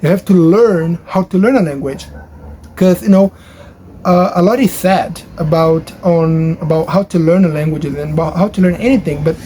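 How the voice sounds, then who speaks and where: A man whose first language is Portuguese, talking casually to camera from his bedroom in a rambling way with many fillers and restarts. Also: dark tone. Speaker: language-learning YouTuber. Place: his bedroom at home, near a highway. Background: traffic noise from a nearby highway.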